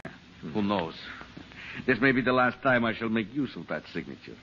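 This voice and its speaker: An actor in an old-time radio drama playing a cunning, megalomaniac scientist villain who speaks in a theatrical, halting way. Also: male voice, on the low side, animated. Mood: falsely meek, sly. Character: scheming megalomaniac male scientist villain, falsely meek, cunning. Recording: dull, noisy old radio recording.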